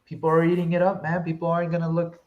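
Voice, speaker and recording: Casual male podcast co-host, slightly high-pitched voice, muffled lo-fi audio. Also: monotone, very fast. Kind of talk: casual film review chat. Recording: dull lo-fi sound.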